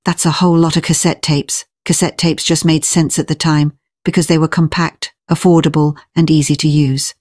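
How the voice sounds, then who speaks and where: Low-pitched woman narrating, dry sound. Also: little pitch movement, normal speed, hardly any pauses, darkish tone, dry recording. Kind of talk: scripted informational narration.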